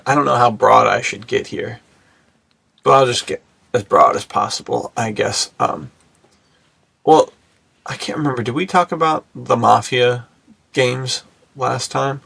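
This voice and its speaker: Gravelly, husky man chatting casually. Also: mid-range voice, fairly bright tone. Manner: slangy, bro-ish, enthusiastic. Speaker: podcast co-host. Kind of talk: casual podcast chat about film and menswear.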